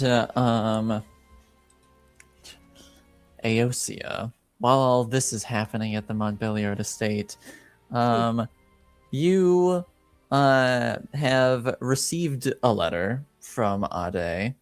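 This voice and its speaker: A man working as game master, narrating a tabletop roleplaying game in a halting, piecemeal way. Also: medium pitch, clear, resonant tone.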